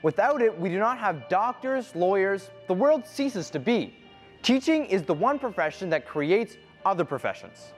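A man's voice: sits high, very animated, moderate pace, faint music behind. Earnest young male student host. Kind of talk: interviewing and scripted narration.

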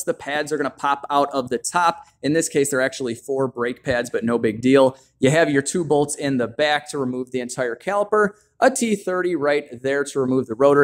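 A man with a slightly higher voice talks through a brake job in a home garage. He is relaxed and chatty, dropping frequent fillers as he films with a hand-held camera. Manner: relaxed, frequent "uh" fillers, addresses viewers as guys. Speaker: mechanic demonstrating brake service. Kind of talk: casual how-to walkthrough.

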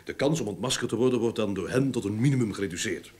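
An actor playing a self-assured, courteous private detective in a radio play, polite and persistent. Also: male voice, lowish voice, fast, darkish tone, husky. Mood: musing, confident. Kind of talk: Dutch-language radio play acting. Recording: noisy old radio drama recording.

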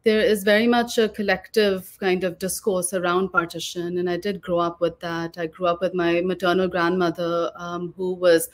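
A woman's voice: lowish voice, level tone, moderate pace, hardly any pauses. A woman, talking reflectively and articulately as an interview guest on a video call. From Lahore, Pakistan. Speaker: guest oral historian and author. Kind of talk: reflective webinar interview talk. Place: living room at home, video call. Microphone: video call microphone.

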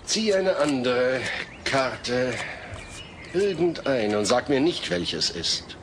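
A man's voice: medium pitch, lively intonation, takes it slowly. A man playing an earnest, patient middle-aged father with a slightly stilted manner in a comedy audio drama. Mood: solemn. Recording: noisy old cassette audio drama.

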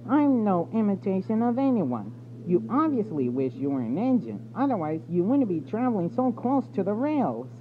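Boastful storybook steam engine, read by a man, high and cross, tinny phone-like sound. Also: very animated, normal speed. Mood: cross, indignant. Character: boastful, cross male tank engine, easily indignant. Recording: tinny phone-like audio with background noise.

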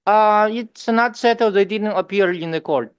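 A man's voice: high-pitched, normal speed, smooth, no room sound. A man from China, a native Mandarin speaker, testifying politely and hesitantly in English at a hearing. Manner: polite, hesitant, says "Your Honor" often. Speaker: witness, interpreter. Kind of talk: testimony under questioning.

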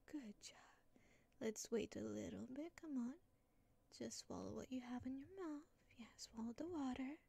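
A woman speaking in soft, breathy whispers in a soothing ASMR roleplay as a comforting motherly caretaker. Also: on the high side. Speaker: ASMR creator.

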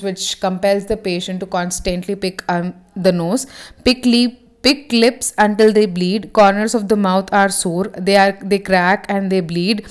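A woman teaching homeopathy, reading short passages aloud and then explaining them in her own words, with frequent fillers and self-corrections. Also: medium pitch. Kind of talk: reading and explaining a reference text.

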